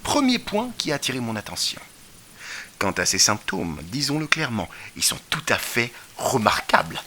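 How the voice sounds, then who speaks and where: A man playing a brilliant, excitable detective in an audio drama, incisive and theatrical as he lectures. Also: mid-range voice, normal speed, full character voice. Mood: analytical, incisive. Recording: noisy ambience behind the voice. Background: faint ambient noise.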